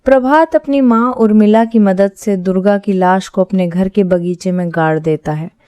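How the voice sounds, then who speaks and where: A woman's voice: mid-range voice, moderate pace, a little mellow, smooth, dry recording. A woman reading scripted horror story narration as the narrator, recorded close like a studio voice-over.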